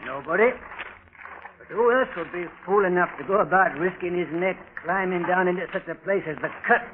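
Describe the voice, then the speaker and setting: An actor playing a talkative, deferential working-class British railway track walker in a scratchy 1930s radio drama. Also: male voice, high-pitched, a little fast, natural voice. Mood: knowing, chatty. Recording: scratchy, tinny, noisy 1930s radio recording.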